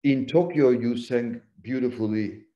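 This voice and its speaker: A man asks short, halting questions on a video call, with a slow and gentle delivery. His voice is mellow and warm in tone. The audio is dull and lo-fi, the way a video call sounds. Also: medium pitch. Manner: halting, short prompts with fillers. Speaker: interviewer. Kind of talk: interview questions.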